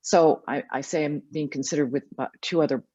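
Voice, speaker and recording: A woman's voice: low-pitched, steady tone, fairly quick, mellow, slightly rough, dry recording. A middle-aged woman speaking frankly as a panelist and board director, with many fillers. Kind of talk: panel discussion. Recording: dry, dull lo-fi audio.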